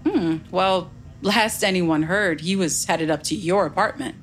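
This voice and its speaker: Woman acting a low, cool, curt cop. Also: measured pace, slight background noise, natural voice. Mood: cool, suspicious. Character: curt, unhurried, doubting female police officer. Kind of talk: audio drama acting.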